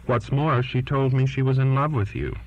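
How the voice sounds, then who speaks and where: A man's voice: mid-range voice, normal speed, pitched above the performer's own voice, lightly acted. A man reading as an earnest, defiant twenty-year-old daughter writing to her father, pleading and insistent, on a lo-fi recording. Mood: frank, insistent. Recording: dull lo-fi recording.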